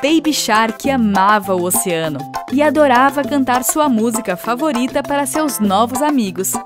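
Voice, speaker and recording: A woman's voice: on the high side, animated, moderate pace, music in the background. A woman reading scripted narration for a children's cartoon story.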